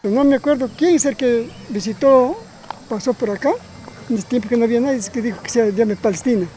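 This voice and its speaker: A man's voice: very high voice, a little fast, warm tone, a little hiss or hum, poor audio. An older man with a Peruvian accent, recalling the past casually in plain, repetitive phrases. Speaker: villager giving testimony. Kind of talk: casual oral recollection.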